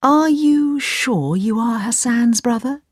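A woman voicing a courteous, cautious mother in a storybook, speaking in a gentle, measured, polite way. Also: mid-range voice, expressive, no echo, clean studio sound, pitched above the performer's own voice. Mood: doubtful.

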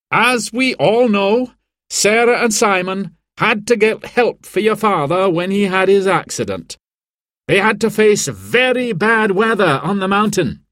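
A man's voice: high voice, fairly bright tone, no echo, clean studio sound, pitched above the performer's own voice. A man voicing a proud mountain rescue team leader giving a formal announcement in a storybook reading.

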